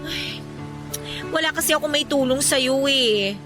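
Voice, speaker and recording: A woman's voice: high voice, measured pace, music in the background. An actress playing a sympathetic yet scheming female office friend, chatty and seeking agreement, in a Filipino radio drama.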